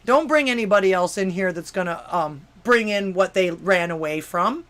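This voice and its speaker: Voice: medium pitch, animated, moderate pace, a bit bright. A talk-show host from Miami, Florida, giving political commentary between news excerpts and listener comments, with sarcastic rhetorical questions and frequent restarts. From Miami, Florida, USA. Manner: stumbling restarts and many fillers. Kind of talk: political commentary, reading news and chat messages aloud.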